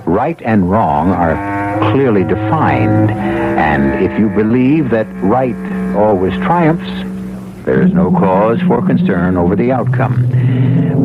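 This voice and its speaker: A man hosting a radio mystery drama, narrating in a solemn, portentous, deliberate manner. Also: lowish voice, narrow, tinny audio, full character voice. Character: solemn, brooding host of a mystery tale. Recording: old radio broadcast with music behind.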